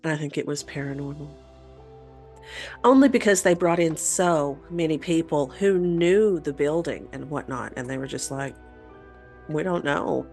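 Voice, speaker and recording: Low-voiced woman in casual chat. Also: a little slow, a little mellow, soft background music. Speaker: podcast co-host.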